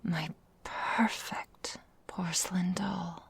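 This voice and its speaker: Woman doing a tenderly menacing vampire mistress for asmr, super slow breathy whisper, lots of crackly fry. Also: fairly low pitch, steady tone, exaggerated voice. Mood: soothing, menacing. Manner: slow, velvety, formal old-fashioned phrasing. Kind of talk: intimate ASMR roleplay.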